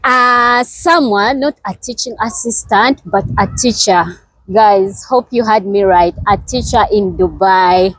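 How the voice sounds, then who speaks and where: A woman hosting her own video channel, greeting viewers and introducing a guest in a warm, welcoming way. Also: mid-range voice, measured pace, no room sound, poor audio. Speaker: channel host. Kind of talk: casual interview chat.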